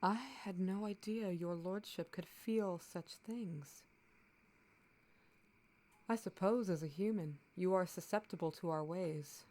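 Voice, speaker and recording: A woman's voice: on the low side, lightly acted. A woman playing a stern, commanding captain of the royal guard in a fantasy audio drama, speaking bluntly and with measure. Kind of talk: scripted fantasy audio roleplay.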